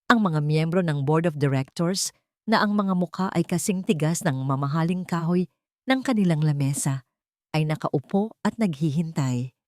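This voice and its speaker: Woman narrator for audio drama, deep low voice, scripted n dramatic, super dry sound. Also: expressive, normal speed, no room sound.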